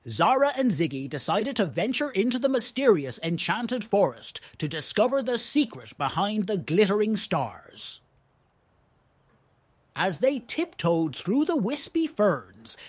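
Very animated children's storyteller, husky voice. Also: mid-range voice, no room sound. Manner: playful, exaggerated emphasis.

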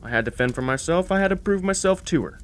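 An actor playing a bitter, grumbling old god of the forge in an audio drama. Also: male voice, sits high, lively intonation, fast. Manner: grumbling, rambling about old grievances. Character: grumpy, bitter old blacksmith god, crippled.

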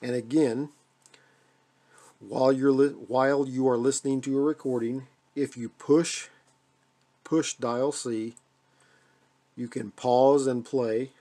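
An American man with a mellow, relaxed voice walks through a piece of equipment in a casual home video. His pitch is average for a man, and he talks without a script, halting and repeating phrases as he goes. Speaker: radio hobbyist demonstrating a receiver. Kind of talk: casual hands-on video demonstration. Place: indoors at a radio desk.

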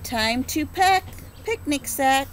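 A woman in her forties, a preschool teacher, reads a rhyming picture book aloud to children and stops to ask them gentle questions. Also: sits high, animated, a little hiss or hum. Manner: slow rhythmic rhyme reading with gentle questions to children. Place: outdoors on a front porch. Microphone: phone or laptop camera at close range.

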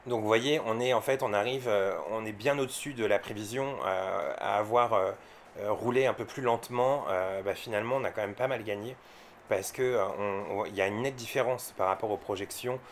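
A man's voice: fairly low pitch, a little fast, a little hiss or hum. A man from France giving casual, unscripted vlog commentary, explaining things informally and with some hesitation. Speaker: electric car owner and vlogger.

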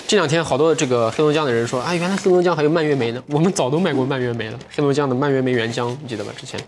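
Young man hosting a lively livestream. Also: medium pitch, rapid-fire, slight background noise. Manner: lively, talks straight to viewers. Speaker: livestream sales host. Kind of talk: chatty livestream selling and book talk. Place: indoor livestream studio with bookshelves.

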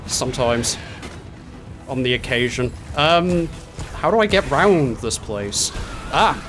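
A man's voice: medium pitch, lively intonation, slow pace. Sweary man bantering over game music. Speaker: co-op gamer playing with friends. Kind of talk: casual gameplay chat and commentary. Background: game music and effects.

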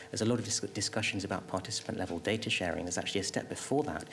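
A middle-aged man speaking as an expert panelist, answering off the cuff at a table microphone with halting restarts and emphatic repetition. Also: low-pitched, creaky. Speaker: panelist, health agency official. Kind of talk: panel discussion answer, spontaneous expert talk. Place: conference auditorium panel table.

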